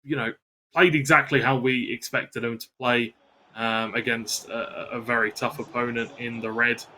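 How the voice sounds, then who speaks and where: A man's voice: mid-range voice, flat delivery, moderate pace, pushed and bright, slight background noise, rough recording. A man working as an esports co-commentator, analysing football video game matches during live commentary.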